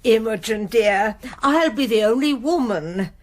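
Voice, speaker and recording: A woman's voice: a little high, expressive, a little slow. A woman playing a gushing society hostess in a radio drama, coaxing and affectionate. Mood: pleading, coaxing. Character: gushing female society hostess, master's wife.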